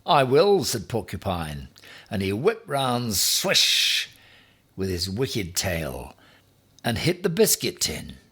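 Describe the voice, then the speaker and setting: A man narrating a children's story from a text as an expressive storybook reader. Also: fairly low pitch, slightly rough.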